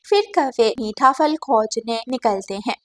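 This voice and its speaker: A woman's voice: a little high, expressive, unhurried. A woman reading scripted storytelling narration for a cartoon as its narrator.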